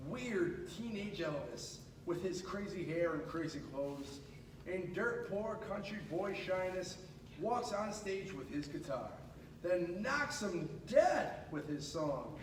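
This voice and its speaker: Male storybook reader, high voice, expressive delivery with chatty asides, distant and echoey school-room recording. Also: slow pace. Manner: expressive, with casual asides to listeners. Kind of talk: animated children's picture book read-aloud. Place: indoors at a school. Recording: echoey room, distant mic, noisy background.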